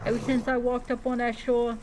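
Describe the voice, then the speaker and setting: A woman streamer role-playing in character as a dragon rider in a game, speaking haltingly and repeating words. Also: on the high side, little pitch movement, background noise. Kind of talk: in-character game roleplay storytelling, talking to pet creatures.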